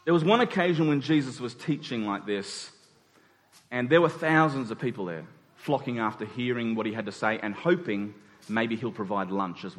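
A man's voice: fairly high pitch, fairly bright tone. A man preaching a conversational sermon in an informal way, acting out dialogue in everyday words. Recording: long hall reverb.

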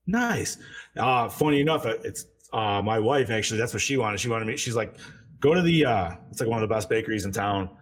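A man's voice: on the low side, lively intonation, fairly quick, slight background noise. A man with a wife co-hosting a podcast, laid-back and casual, saying dude a lot.

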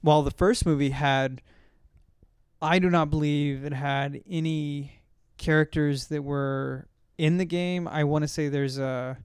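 A man with a fairly high, clear voice in a dry, close recording. He talks as a casual podcast host, rambling through his points and circling back to correct himself. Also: fairly even intonation, a little slow, dry recording. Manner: rambling, self-correcting, hesitant recall. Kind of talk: casual discussion of films.

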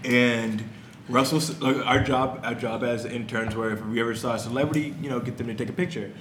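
A man chatting casually and telling anecdotes in slangy, profane language, recorded in an echoey room with faint rumble. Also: fairly even intonation, brisk, fairly bright tone.

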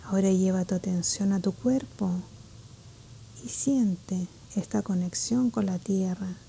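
Female meditation narrator, soft and very relaxed, gentle measured delivery with breathy phrase ends, mid-range pitch. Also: slight background noise. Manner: calm, gentle, measured with pauses. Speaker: meditation guide.

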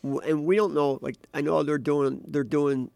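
A man with a raspy voice, sick with a cold, co-hosting a casual political podcast and joking and hesitating as he talks. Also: a little high, fairly even intonation, normal speed, darkish tone, dry recording. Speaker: podcast co-host.

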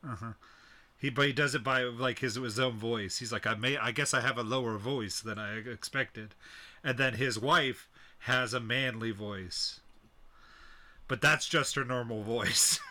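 A man co-hosting a movie podcast in casual chat, sarcastic and quick to laugh. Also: mid-range voice, pushed and bright. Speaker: podcast co-host.

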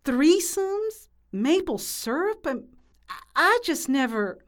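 Flustered prim woman, easily shocked, very high swooping voice, audio drama. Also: big pitch swings, slow, full character voice.

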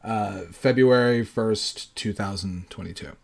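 A man's voice: lowish voice, unhurried, gravelly. A man in his late thirties hosting a podcast solo, talking casually in a rambling way and swearing freely. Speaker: podcast host.

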